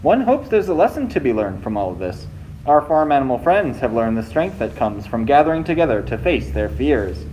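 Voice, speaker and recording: A man narrating a children's puppet show from a script in a measured, theatrical storybook manner. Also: medium pitch, sing-song, darkish tone, a little hiss or hum, low-quality recording.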